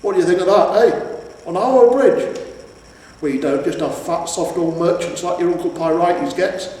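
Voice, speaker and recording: A man's voice: sits high, moderate pace, a little mellow, voice pushed up, natural voice. A man voicing a proud, echoey troll father. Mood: proud, excited. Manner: boastful, earnest, colloquial. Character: proud, eager male troll, henpecked family father. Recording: echoey reverberant room, distant mic, faint noise.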